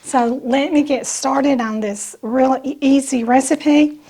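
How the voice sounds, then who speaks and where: A woman with a Southern US accent and a slightly raspy, bronchial voice, chatting casually as she talks through a home cooking demonstration. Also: sits high, takes it slowly, warm tone. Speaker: host of a home cooking channel.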